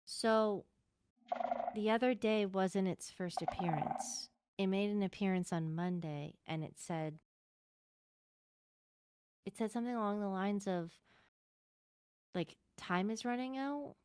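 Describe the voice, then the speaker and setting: A woman playing a hesitant member of a fantasy court in an improvised game roleplay, speaking in a halting, tentative way. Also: medium pitch, a little mellow, smooth, no room sound, studio quality, close to an everyday voice. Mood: uneasy, tentative. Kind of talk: improvised Minecraft roleplay in character.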